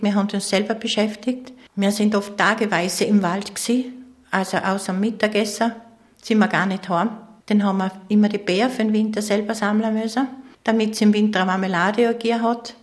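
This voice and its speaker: An older woman from East Tyrol with a Vorarlberg Austrian accent, reminiscing conversationally as an interview guest. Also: medium pitch. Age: 60s or older.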